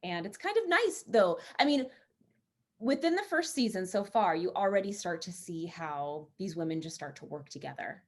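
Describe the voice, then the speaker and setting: A woman with a somewhat low-pitched voice talks casually. She is an actress on a panel, informal in delivery and a little halting as she explains things. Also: expressive. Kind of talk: casual panel talk about a role.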